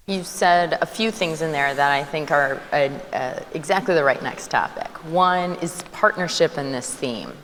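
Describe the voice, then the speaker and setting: Deep-voiced woman moderating a panel. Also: moderate pace, hardly any pauses, fairly bright tone, a little hiss or hum. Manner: inquisitive, lightly stumbling. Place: conference hall. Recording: reverberant hall with faint ambience.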